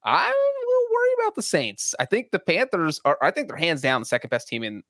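A man on a sports podcast makes bold, emphatic calls and needles his co-hosts. His voice sits a bit high for a man, and the sound is dry and close. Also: wildly swooping pitch, fast, a bit bright, dry recording. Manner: emphatic, opinionated, teasing. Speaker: podcast co-host. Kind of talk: casual sports analysis chat.

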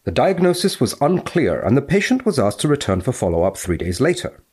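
A man speaking as an expert guest, explaining and reading out research in a measured, precise way. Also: medium pitch, very animated, darkish tone, husky.

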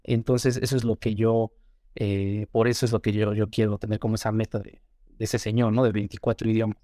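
A man's voice: fairly low pitch, little pitch movement, fairly quick, dark tone, no room sound. Young Mexican man, earnest and dry. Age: young adult. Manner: earnest, motivational, repeats words as he thinks. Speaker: guest. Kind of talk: casual interview.

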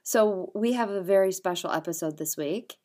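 A woman co-hosting a podcast in a bubbly, animated way, full of quick asides. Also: medium pitch. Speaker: podcast co-host. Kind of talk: casual podcast chat.

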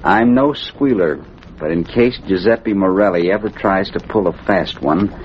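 Menacing racketeer: low voice, slangy threats, old radio acting, dull noisy recording. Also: male voice, measured pace. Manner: threatening, slangy gangster talk. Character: menacing, smug racketeer blackmailing an underling.

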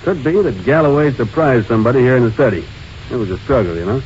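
An easygoing sheriff of a small town played by a man, offhand and musing, with a medium-pitched voice. It is vintage radio drama, dull and faintly hissy. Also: animated, a little mellow, natural voice. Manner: offhand, hesitant, drawn-out musing. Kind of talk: 1940s radio acting. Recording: muffled, hissy old radio recording.

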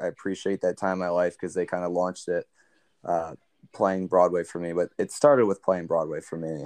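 A young man with a very low, smooth baritone voice tells a story in an easygoing interview. He rambles a little, leaning on ums and you-knows. The sound is close and dry. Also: dry recording. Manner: relaxed, rambling with many fillers. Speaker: country singer, guest.

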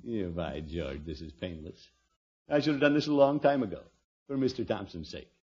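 A man's voice: sits low, very animated, moderate pace, a little mellow, husky, heavily acted. An actor playing a pompous, flustered middle-aged uncle in vintage radio comedy, blustering and chuckling as he mutters asides. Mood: fussy, pleased. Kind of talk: 1940s-50s radio comedy acting. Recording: muffled vintage radio recording.

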